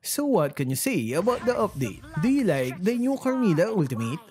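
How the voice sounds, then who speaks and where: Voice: medium pitch, very animated, brisk, darkish tone. A gaming video host reading scripted narration about game updates. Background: background music.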